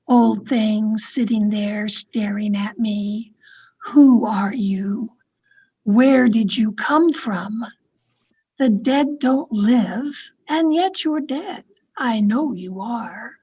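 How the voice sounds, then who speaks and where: A woman's voice: a little high, drawn-out words, a little mellow, slightly rough, full character voice. A woman playing an anxious, domineering wife, demanding and fretful, in old time radio horror style. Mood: fearful. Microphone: video call.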